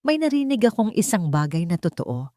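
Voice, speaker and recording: A woman voicing an earnest, tender wealthy man in a romance audio story, speaking with slow, intimate emotion. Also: mid-range voice, very animated, mellow, no echo, studio quality, pitched above the performer's own voice, close to an everyday voice. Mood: tender, sincere.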